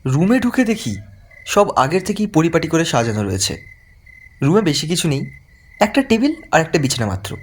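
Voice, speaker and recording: A man's voice: fairly high pitch, big pitch swings, moderate pace, pauses often, breathy edge. A man playing a frightened young man who tells a horror story in dramatic, suspenseful first-person narration. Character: frightened young man recounting his haunted-house visit. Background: music.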